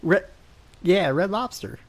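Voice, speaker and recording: A man's voice: high-pitched, takes it slowly. A man voicing a rambling, awkward character named Frank in an improvised roleplay, full of fillers and restarts. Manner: rambling, hesitant, lots of fillers. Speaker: roleplay streamer.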